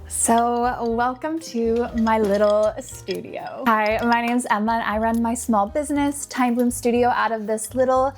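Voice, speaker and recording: A young woman casually chatting through a clay tutorial in her small home studio, a little hoarse with some vocal fry, upbeat, often dropping to a whisper. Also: on the high side, steady tone, a little slow, few pauses, faint music behind. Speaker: polymer clay artist and small business owner.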